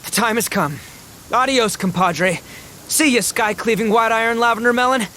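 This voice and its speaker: An actor playing a brash, goofy, overconfident oni gang boss in a video game, with slangy, theatrical bragging. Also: male voice, very high voice, moderate pace, pushed and bright, a little hiss or hum. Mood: dramatic farewell. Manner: slangy, bragging, theatrical, stammers when flustered. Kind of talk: animated video game voice acting.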